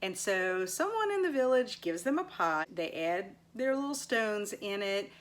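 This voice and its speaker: A woman talks through books in a casual vlog style. Her pace is slow and unhurried, with a medium pitch for a woman. She leans on certain words for emphasis as she recaps each story. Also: very animated. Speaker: book reviewer and readathon host; teacher. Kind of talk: casual book review vlog, recapping and summarizing stories.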